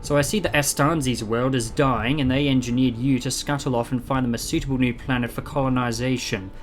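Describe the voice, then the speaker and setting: A man's voice: medium pitch, steady tone, a little fast, slight background noise, natural voice. An actor playing a quirky, self-assured male time traveller in an audio drama, wry and breezy in manner. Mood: wry, confident.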